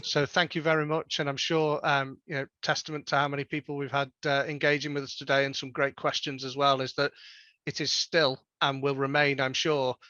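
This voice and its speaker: A man's voice: mid-range voice, level tone, brisk, pushed and bright, no room sound, lo-fi sound. A man hosting a webinar, politely reading out audience questions and thanking the speaker. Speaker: webinar host.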